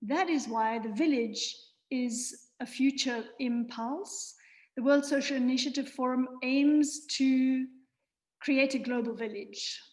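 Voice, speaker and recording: A woman's voice: sits high, darkish tone. A woman hosting a webinar forum, giving an earnest, idealistic opening speech with rhythmic, repeated phrasing over a video call. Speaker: forum host.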